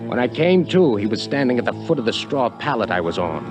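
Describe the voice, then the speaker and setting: An actor playing a tough, wary American adventurer in a 1950s radio drama, with a terse, hard-boiled delivery. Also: male voice, medium pitch, sing-song, brisk, natural voice, poor audio. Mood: grim, haunted. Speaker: actor and first-person narrator in a radio drama. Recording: vintage 1950s radio drama, music behind.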